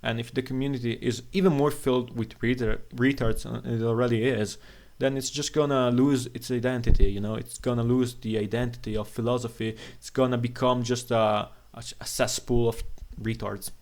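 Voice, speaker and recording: A man giving an unscripted, opinionated rant as a video commentator, blunt, scornful and profane, with a halting, stammering delivery. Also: mid-range voice, fairly even intonation, darkish tone.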